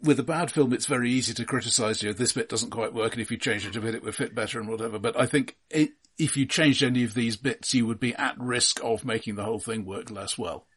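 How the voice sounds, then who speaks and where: A man co-hosting a casual film discussion, speaking in a measured, analytical way with halting phrasing. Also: mid-range voice, breathy edge. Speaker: film podcast co-host.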